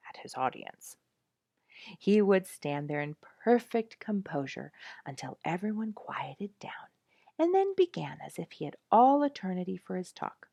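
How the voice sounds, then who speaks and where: A woman narrates a storybook with a fairly low voice and very animated intonation that rises and falls widely. Her reading is expressive and scripted, recorded close in a dry space. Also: no echo. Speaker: storyteller reading a book aloud.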